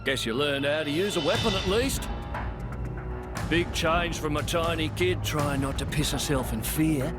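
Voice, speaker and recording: A man's voice: a little high, unhurried, over music, natural voice. Man playing a drawling, sneering cruel villain. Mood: mocking. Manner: mocking, drawling, crude. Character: cruel, sneering male villain taunting a victim. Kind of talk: video game voice acting.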